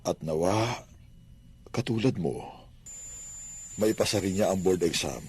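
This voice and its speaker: A kindly middle-aged Filipino father in a radio drama. His voice sits fairly low and is very husky. He speaks gently, with earnest piety and small hesitations. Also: measured pace, heavily acted. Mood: tender. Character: kindly, devout widowed father and boarding-house landlord.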